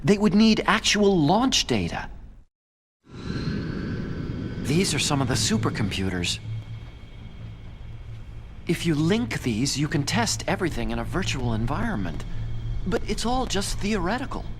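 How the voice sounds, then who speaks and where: A man's voice: on the high side, big pitch swings, moderate pace. An actor voicing an anxious, idealistic young male scientist in a video game, earnest and emotional. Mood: earnest, explaining. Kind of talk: dramatic video game voice acting. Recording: faint background music.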